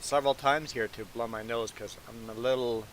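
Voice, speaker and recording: A man's voice: medium pitch, moderate pace, low-quality recording. A man with a sniffly nose chatting casually on a live stream, talking in a rambling, conversational way. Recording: steady background hiss. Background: hiss.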